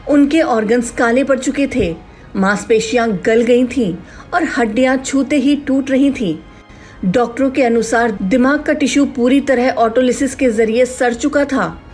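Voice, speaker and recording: A high-pitched woman narrator delivers a scripted news story in a dramatic style. Faint music plays behind her voice. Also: dark tone. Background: background music.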